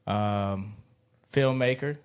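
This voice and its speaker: A man's voice: on the low side, extremely slow, clean tone. A man in his early thirties hosting a podcast, chatting casually, rambling through stories and laughing often. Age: 30s. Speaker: podcast host. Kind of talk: casual chat.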